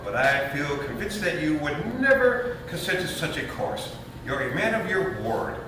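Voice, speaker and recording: An actor playing a brash, scheming young father in a readers theater comedy, glib and emphatic. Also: a little high. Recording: echoey hall, distant mic, faint noise.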